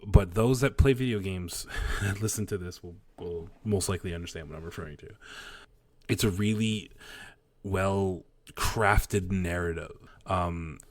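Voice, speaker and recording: A man co-hosting a podcast, chatting casually and excitably, with frequent fillers and laughs. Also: sits low, measured pace. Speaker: podcast co-host. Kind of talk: casual chat.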